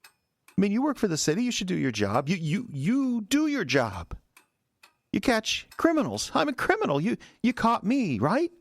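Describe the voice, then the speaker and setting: A man's voice: high voice, wildly swooping pitch, moderate pace, creaky, no room sound, heavily acted. An actor playing an agitated, confused man making a confession in an audio horror drama, stammering and repeating himself. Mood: frantic. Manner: stammering, repetitive, pleading.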